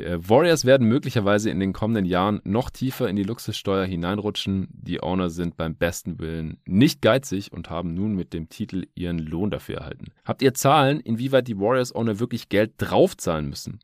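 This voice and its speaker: A man's voice: low-pitched, dry recording, clean studio sound. A man hosting a basketball podcast in casual talk, explaining things colloquially with English sports jargon mixed in.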